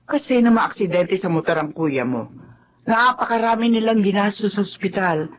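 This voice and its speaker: A woman's voice: medium pitch, normal speed, slight background noise, lightly acted. A woman acting a patient, coaxing older mother in a Filipino TV drama, with a Filipino accent. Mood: pleading. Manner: coaxing, apologetic. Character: pleading, patient older mother of grown children. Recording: slightly dull, muffled drama audio.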